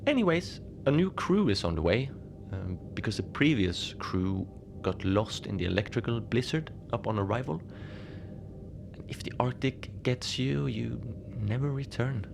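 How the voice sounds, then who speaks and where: A man playing a mild, lonely Antarctic station manager in a cozy horror audio drama, speaking in a hesitant, understated deadpan as he logs his shift. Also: mid-range voice, unhurried, gravelly. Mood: calm, matter-of-fact. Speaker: narrator of a fiction podcast. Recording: noisy ambient bed behind the voice.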